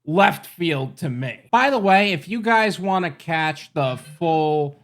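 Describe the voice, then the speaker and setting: A man's voice: on the high side, lively intonation, slow, fairly bright tone. A young man with a New York accent hosting a reaction video, musing aloud with candid rhetorical questions. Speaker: gig-economy channel host. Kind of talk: reaction commentary.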